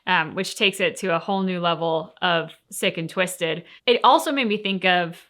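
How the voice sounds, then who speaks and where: A woman's voice: on the low side, normal speed, a bit bright. A woman co-hosting a game reaction show, talking casually and reflectively in an earnest, explanatory way.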